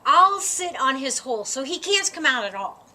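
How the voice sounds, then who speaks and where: A woman voicing a big, eager, excitable moose in a children's storybook, booming and emphatic. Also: normal speed, exaggerated voice.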